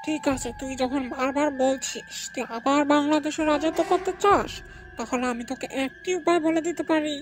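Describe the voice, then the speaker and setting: A woman's voice: sits high, fairly quick, lightly acted, poor audio. A woman voicing a sly devil who tempts and bargains in a comic cartoon, taunting and cackling. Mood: sly, commanding. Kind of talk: comic cartoon villain acting. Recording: loud music under the voice.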